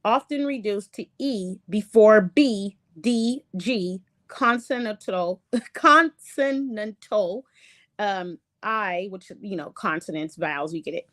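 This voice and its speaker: A woman talks through a lesson from her slides, slow and unhurried, stopping often with ums and fresh starts. English is not her first language, and her voice sits at an ordinary middle pitch. The sound is dry and close, with no room around it. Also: a bit bright, no room sound. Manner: halting, many ums and restarts. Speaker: online show host. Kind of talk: casual lecture reading from slides.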